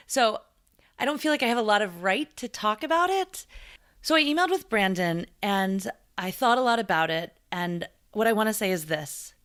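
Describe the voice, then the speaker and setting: A woman hosts a casual podcast interview, with a voice of middling pitch. She talks in a chatty, curious way and is quick to react to what her guest says. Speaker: podcast host.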